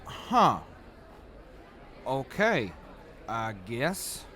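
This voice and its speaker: A man's voice: mid-range voice, very animated, extremely slow, bright, forceful tone, some chatter behind, heavily acted. A man playing a practical, wary wasteland traveler in an audio drama. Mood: uncertain.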